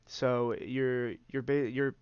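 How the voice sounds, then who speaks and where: Stuffy-nosed guy rambling through card game commentary, smooth voice, kinda lo-fi. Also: medium pitch, little pitch movement, takes it slowly, a little mellow, no room sound. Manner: rambling, many "uh" fillers, restarts. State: congested, stuffy nose. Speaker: host and commentator.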